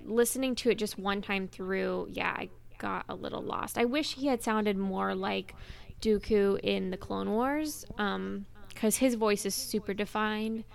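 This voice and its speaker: Clear-voiced woman with a creaky edge. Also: medium pitch, unhurried. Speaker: podcast co-host. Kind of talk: casual fan discussion.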